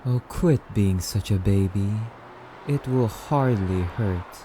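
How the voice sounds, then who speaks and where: A man's voice: fairly low pitch, slow, warm tone, a little hiss or hum, rough recording. A man playing a courtly, wistful male vampire in an ASMR roleplay, speaking in a musing, slightly archaic, haughty yet polite manner. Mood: coaxing, impatient. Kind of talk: ASMR roleplay monologue addressed to listener.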